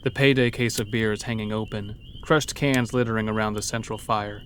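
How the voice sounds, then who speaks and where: A man's voice: lowish voice, steady tone, fairly quick, a little hiss or hum. A man narrating a scripted first-person horror story in a dramatic storytelling manner. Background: background music.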